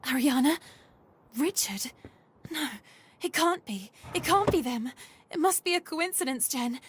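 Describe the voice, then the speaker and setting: An actress playing a rebellious, hot-tempered young maid in a dramatic video game, speaking emphatically. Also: female voice, high-pitched, expressive, measured pace, a little hiss or hum. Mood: hushed, bewildered.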